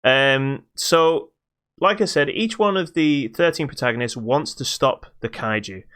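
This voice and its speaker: A man co-hosting a podcast, casually reviewing a video game in a halting but emphatic way. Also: mid-range voice, fairly bright tone, smooth. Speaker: podcast co-host.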